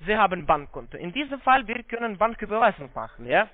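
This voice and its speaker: A man with a foreign accent giving a halting, repetitive, polite phone sales pitch in broken, non-native German. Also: big pitch swings. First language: not German. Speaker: call center investment salesman on a scam call. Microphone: phone call. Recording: narrow-band phone line sound.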